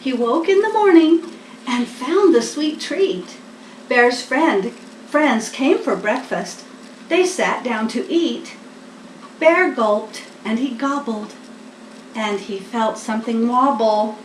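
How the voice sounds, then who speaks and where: An older woman reads a children's storybook in a high voice at an unhurried pace. She is expressive and deliberate, recorded in an echoey room a little way from the mic. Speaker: storybook reader, host. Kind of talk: children's picture-book read-aloud. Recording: echoey room, distant mic, faint noise.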